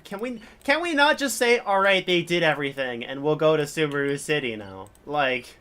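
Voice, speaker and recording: A man casually commentating as a live game streamer, rambling and halting, sounding mildly bored. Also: on the high side, expressive, sharp tone, clean tone. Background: video game music and dialogue.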